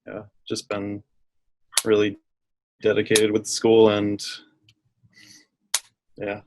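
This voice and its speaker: A man's voice: lowish voice, monotone, slow pace, noisy background. A young man from the United States talking casually as a guest, laid-back and rambling with many fillers. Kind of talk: casual chat.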